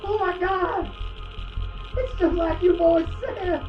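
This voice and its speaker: A man acts out an excitable hermit in a radio drama, his voice pitched very high. He swings between wonder and alarm. The recording is dull and echoey, with music underneath. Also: slow. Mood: awed, amazed. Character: excited hermit man, awed then panicked. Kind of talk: audio drama acting.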